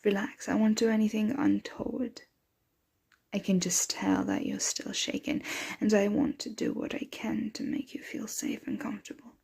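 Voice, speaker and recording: A woman voicing a possessive, protective, motherly vampire in an intimate ASMR roleplay, breathy and often dropping into a whisper. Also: medium pitch, steady tone, a little mellow. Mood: calm, reassuring. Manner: slow, intimate, soothing address to a dear one.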